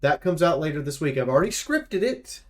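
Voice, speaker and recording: Higher-pitched man giving casual game commentary. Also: very animated, brisk. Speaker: video game reviewer.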